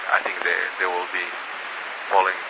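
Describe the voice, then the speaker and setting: A man talking on a two-way radio, halting and hesitant with many pauses and restarts, ending each turn with "over". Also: low-pitched, monotone. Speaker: radio caller. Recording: lo-fi radio link with static hiss.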